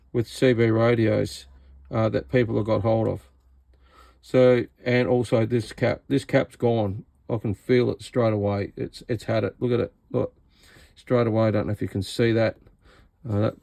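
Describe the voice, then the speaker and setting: A man's voice: fairly low pitch, fairly even intonation, measured pace, vocal fry, dark tone, no echo. An Australian man giving casual, hands-on commentary as he inspects equipment, speaking in a blunt, sarcastic way. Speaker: radio repair hobbyist presenting a video.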